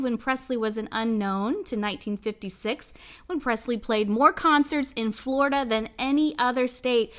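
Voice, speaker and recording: A woman's voice: high voice, fairly bright tone. A woman hosting a podcast, introducing the show and interviewing as its founder. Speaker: podcast host and network founder.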